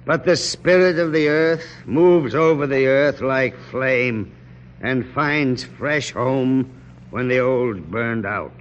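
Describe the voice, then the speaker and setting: An actor playing a weary, resolute American general in a radio drama, speaking in grave, deliberate, theatrical speeches. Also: male voice, medium pitch, lightly acted. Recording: dull, hissy old radio broadcast.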